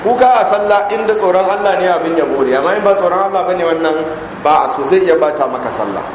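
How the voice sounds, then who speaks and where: A man's voice: high voice, lively intonation. Hausa-accented man lecturing in an echoey mosque. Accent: Nigerian Hausa. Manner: reads Arabic then explains slowly. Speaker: Islamic scholar teaching hadith. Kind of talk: mosque lecture explaining Arabic text in Hausa. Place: large mosque hall. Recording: echoey hall recording with background noise.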